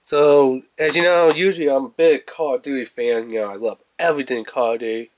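A man with a stuffy, congested nose talks through a video game unboxing in a loose, rambling way, leaning on fillers like "so" and "you know." His voice sits at an ordinary middle pitch. He is recorded indoors at home on a phone or camera held above a tabletop. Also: fairly even intonation, fairly quick, a little hiss or hum. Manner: rambling, filler-heavy, talks to camera while handling the case. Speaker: gaming channel host. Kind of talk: casual unboxing review.

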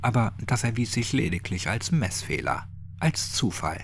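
A man voicing a gruff, crude, sadistic old man with a clipped, mocking way of talking, in a horror audiobook. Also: on the low side, expressive, moderate pace, a little hiss or hum. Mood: smug.

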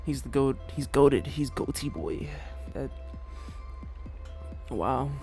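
A man's voice: medium pitch, lively intonation, slow, vocal fry, darkish tone, faint music behind. A young man casually reacting to a rap song from his small bedroom, slangy and laid-back, swearing often. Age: young adult. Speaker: music reaction youtuber. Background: hip hop track playing.

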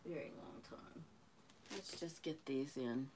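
An older woman teaching a painting lesson, talking slowly and calmly while she works, losing her voice and clearing her throat often. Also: low voice, background noise. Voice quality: gravelly voice. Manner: slow, calm, unhurried talk. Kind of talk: live painting lesson, thinking aloud. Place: home art studio, indoors.

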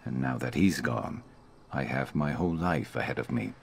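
A stoic reptilian warrior from a video game, with an extremely deep voice. He is loyal, measured and earnest, over a faint layer of background noise. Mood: earnest, reflective.

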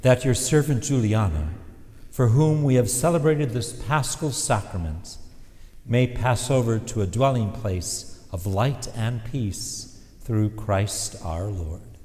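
A man leading a liturgical prayer in a measured, solemn way in a large church. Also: lowish voice, a little mellow. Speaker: priest. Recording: long church reverb.

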